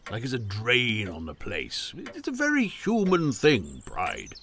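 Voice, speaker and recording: An actor playing a restless, ailing older country gentleman in an audio drama, wry, kindly and hesitant. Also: mid-range voice, wildly swooping pitch, a little slow, a little hiss or hum, full character voice. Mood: gentle, candid.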